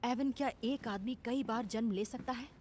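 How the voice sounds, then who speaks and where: A woman's voice: a little high, normal speed, a little mellow, a little hiss or hum, natural voice. A woman dubbing an insistent, mysterious woman who speaks earnestly of past lives in a fan-made action film dub. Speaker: dubbing actor.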